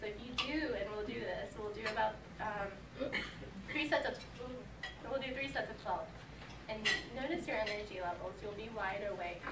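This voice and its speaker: A woman's voice: mid-range voice, normal speed. A woman leading a conference crowd through a breathing exercise, giving coaxing instructions and laughing between cues. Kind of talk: guided group exercise at a live event. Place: conference hall, indoors. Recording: echoey hall, distant, noisy.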